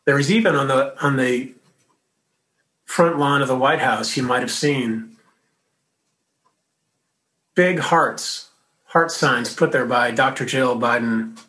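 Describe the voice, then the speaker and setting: A male minister delivers his sermon in an easy, conversational way, as if among friends. His voice has a bright, forward ring. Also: medium pitch, low-quality recording.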